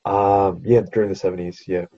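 A man's voice: low voice, little pitch movement, brisk, warm tone, muffled recording. A young Australian man with an Australian accent, co-hosting a podcast in a laid-back way, with lots of ums and casual swearing. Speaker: podcast co-host. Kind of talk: casual chat.

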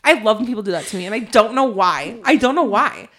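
A woman's voice: a little high, animated, fast. A young woman co-hosting a podcast in casual chat, giggling often and filling her talk with "like". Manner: giggly, says "like" a lot. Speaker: podcast co-host.

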